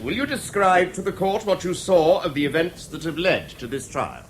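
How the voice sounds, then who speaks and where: Courtroom radio drama: probing English counsel, formal, deliberate, very animated high-set intonation, faint broadcast noise. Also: male voice. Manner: deliberate, insistent, formal, with weighty pauses. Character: probing, theatrical male prosecuting barrister in court. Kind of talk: 1970s British radio drama acting.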